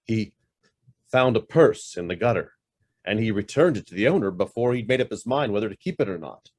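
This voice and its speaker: Guarded middle-aged businessman in a radio play, acted by a man; lively, swinging intonation, clipped and haunted by guilt. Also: fairly low pitch, brisk. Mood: bitter, reflective. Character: guarded, brusque investment firm boss hiding a guilty past. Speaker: actor. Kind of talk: radio drama acting.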